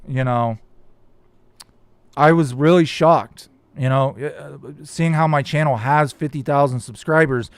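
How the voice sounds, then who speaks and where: A man's voice: a little high, measured pace, no room sound. A man hosting a casual live stream, reading viewer comments aloud and rambling about them, his voice starting to crack. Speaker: YouTube host reading live chat.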